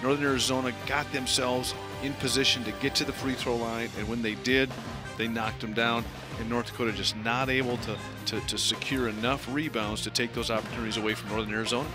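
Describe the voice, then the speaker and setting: A middle-aged man giving relaxed, conversational post-game analysis over a headset mic at an arena desk. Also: medium pitch, fairly quick, music in the background. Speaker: color analyst.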